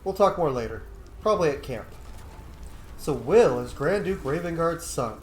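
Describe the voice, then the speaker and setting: A man's voice: on the high side, animated, moderate pace. A man giving casual commentary as he plays through a game, in an echoey room with noise behind him. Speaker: gaming video host, player.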